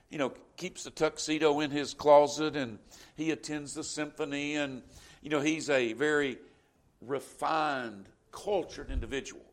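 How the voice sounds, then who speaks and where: Male pastor, Southern US accent, middle-aged, slightly higher voice, folksy conversational sermon delivery. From Florida, US. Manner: conversational preaching with frequent "you know".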